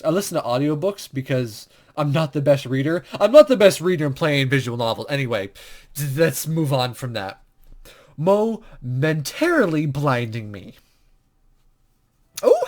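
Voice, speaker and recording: A man hosting a let's play, reading visual novel text aloud with casual commentary and stumbling over words as he goes. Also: a little high.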